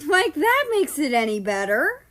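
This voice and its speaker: A woman's voice: sits high, sing-song, measured pace, a bit bright, pitched above the performer's own voice, exaggerated voice. A woman voicing a nervous, indignant girl in a read-aloud story. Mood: indignant fear.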